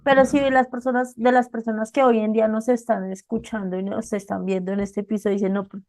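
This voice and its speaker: A woman's voice: a little high, level tone, fast talker, darkish tone. A woman hosting a podcast, talking conversationally about her own saving habits and asking her guest questions. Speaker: podcast host. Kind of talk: casual podcast interview.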